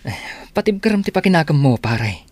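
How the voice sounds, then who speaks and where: A blunt loyal buddy, dry sound. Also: male voice, on the high side, big pitch swings, moderate pace, a little mellow, no room sound. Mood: advising. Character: young man, Tonton's loyal buddy.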